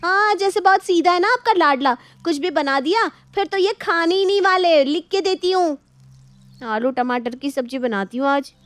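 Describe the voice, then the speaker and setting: Young put-upon daughter-in-law, voiced by a woman, very high plaintive voice, domestic moral story, close dry sound. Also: moderate pace, a bit bright, no room sound. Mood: exasperated. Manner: plaintive, exasperated. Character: young exasperated daughter-in-law, dutiful wife.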